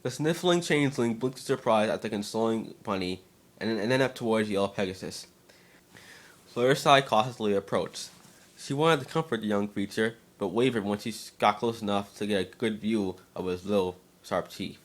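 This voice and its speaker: A man narrating fan fiction as a dramatic reading, slightly halting and stumbling over words now and then. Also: fairly low pitch.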